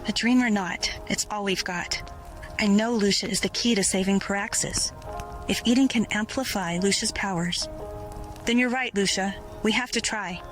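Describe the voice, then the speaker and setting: An actress playing a determined, burdened rebel leader in an audio drama. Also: female voice, mid-range voice, moderate pace, soft background music, close to an everyday voice. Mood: earnest, determined. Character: determined female rebel leader Seraphine, burdened but full of faith.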